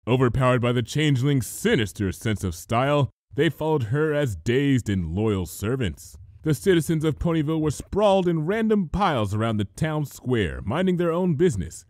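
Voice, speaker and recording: A man's voice: medium pitch, sing-song, normal speed, hardly any pauses, darkish tone, dry recording, studio quality. A man reading a story aloud as narrator, in a scripted, dramatic storytelling style. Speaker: fan fiction reader, narrator.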